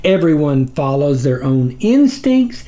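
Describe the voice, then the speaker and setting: A man's voice: fairly high pitch, very animated, takes it slowly, warm tone. A man voicing a grovelling demon underling in an audiobook story, a wordy, pedantic academic who speaks with obsequious formality. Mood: formal, earnest.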